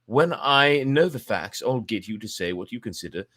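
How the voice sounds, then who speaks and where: A man's voice: mid-range voice, lively intonation, moderate pace, fairly bright tone, pitched above the performer's own voice. A man voicing a polite, probing male newspaper interviewer in a raised, lighter voice. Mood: inquiring.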